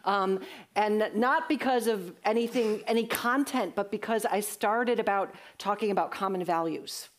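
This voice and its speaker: A woman's voice: medium pitch, normal speed. Earnest woman panelist. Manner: earnest, conversational, frequent restarts. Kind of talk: panel discussion answering audience.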